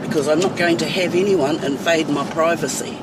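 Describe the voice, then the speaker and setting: A woman's voice: deep voice, fairly quick. A middle-aged woman with a New Zealand accent, bluntly ranting and swearing to her phone camera while driving. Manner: blunt, fiery, swears freely. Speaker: vlogger. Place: inside a van in traffic. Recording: distant phone mic with loud road noise.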